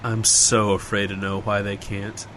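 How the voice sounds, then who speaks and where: An actor playing an easygoing, bemused friend in a casual, slangy comedy audio drama. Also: male voice, fairly low pitch, monotone, a little slow, fairly bright tone, slight background noise. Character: easygoing, bantering friend explaining a strange town.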